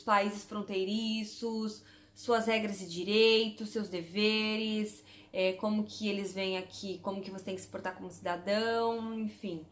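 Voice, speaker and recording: A woman's voice: medium pitch, roomy sound, slight background noise. A Brazilian woman in her thirties from Santos, chatting casually to camera as a vlogger and going through lists item by item. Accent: Brazilian Portuguese, from Santos. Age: 30s. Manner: chatty, spells out lists item by item with German words mixed in.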